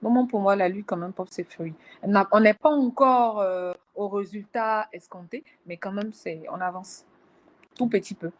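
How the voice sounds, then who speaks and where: A woman from Africa speaks on a webinar panel over a video call. She answers in a measured, reflective way, with small hesitations as she gathers her thoughts. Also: medium pitch, low-quality recording. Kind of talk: webinar discussion.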